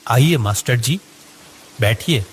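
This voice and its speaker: A man's voice: mid-range voice, animated, takes it slowly. A man voicing an earnest, deferential village man in a cartoon story. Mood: earnest, sad. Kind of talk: Hindi cartoon story acting.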